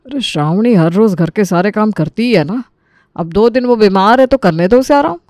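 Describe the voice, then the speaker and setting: Kindly middle-aged father-in-law, voiced by a man, soothing and concerned, animated family tale, dry close recording. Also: high-pitched, moderate pace, dry recording. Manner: caring, soothing. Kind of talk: Hindi animated moral story acting.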